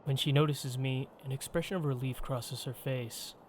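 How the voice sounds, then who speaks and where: Game narrator, scripted reading, medium pitch, faint noise behind. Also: fairly even intonation, moderate pace. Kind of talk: scripted narration and player lines in a video game.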